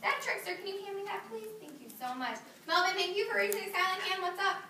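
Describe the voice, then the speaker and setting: A woman's voice: very high-pitched, fast, sharp tone, slight background noise. A woman teacher reading a story aloud to a kindergarten class, praising and coaxing the children with lots of questions. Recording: roomy classroom audio from a distance.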